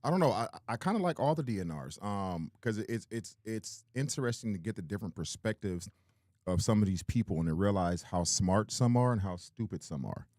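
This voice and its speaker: Deep-voiced laid-back male co-host. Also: animated, a little fast, a little mellow, dry recording, studio quality. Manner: laid-back, teasing, laughs often. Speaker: podcast co-host. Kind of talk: casual chat.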